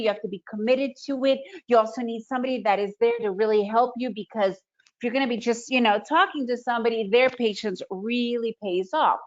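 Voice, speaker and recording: A middle-aged woman whose first language is Russian leads an online trading presentation in an energetic, motivational way. Her voice sits a little high. The webinar audio comes through slightly dull and lo-fi. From Soviet Union. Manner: energetic, motivational, conversational with frequent "right?".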